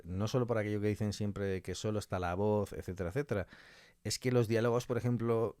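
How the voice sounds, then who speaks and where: Man, low voice, emphatic conversational guest, very dry recording. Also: a little mellow, clear, resonant tone, dry recording. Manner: colloquial and emphatic, repeating key phrases and voicing hypothetical quotes. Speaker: interview guest, audio fiction director. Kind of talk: conversational interview answers.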